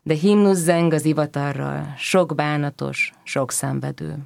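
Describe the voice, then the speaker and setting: A reciter delivering religious verse in a solemn, measured declamation. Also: medium pitch, fairly even intonation, gravelly, darkish tone, dry recording. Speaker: poetry reciter.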